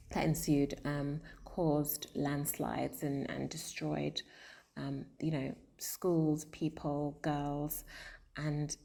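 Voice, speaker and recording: A woman with a low, deep voice talks about her art in an earnest, reflective way. She pauses often and fills the gaps with soft hesitations as she gathers her thoughts. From South London. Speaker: comics artist. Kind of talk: personal artist statement to camera.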